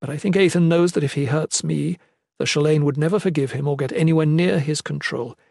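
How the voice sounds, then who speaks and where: A man's voice: on the high side, brisk, vocal fry, darkish tone, dry recording, clean studio sound, voice pushed up. A man voicing a determined, self-sacrificing mother in an earnest, formal manner for a fantasy audiobook. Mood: resolute. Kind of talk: fantasy audiobook character reading.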